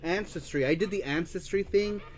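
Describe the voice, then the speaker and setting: A man from the United States hosting a live-streamed show, chatting casually as he reads viewer comments. He is animated, laughs often, swears freely and slips into Spanish. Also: high voice, moderate pace. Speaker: host of a live-streamed retro gaming show.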